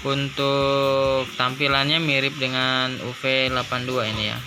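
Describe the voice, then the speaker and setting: A man's voice: mid-range voice, level tone, a little slow. A man giving a casual unboxing commentary, informal and thinking aloud as he goes. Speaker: product reviewer. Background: music.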